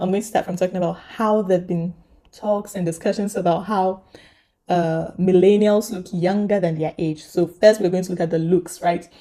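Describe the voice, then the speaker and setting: Mellow, low-voiced woman hosting a vlog. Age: young adult to middle-aged, millennial. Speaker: host. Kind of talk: conversational video commentary to viewers.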